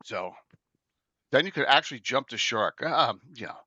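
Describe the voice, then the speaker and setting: A man in his late fifties hosting a casual chat show, joking and rambling excitedly. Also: medium pitch, moderate pace, muffled recording. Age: 50s. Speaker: podcast host.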